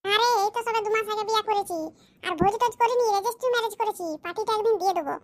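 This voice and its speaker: A performer voicing a boastful, scheming village man in a cartoon, with a smug, slow, explaining manner. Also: very high voice. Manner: smug, bragging, explains his schemes slowly. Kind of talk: cartoon comedy voice acting.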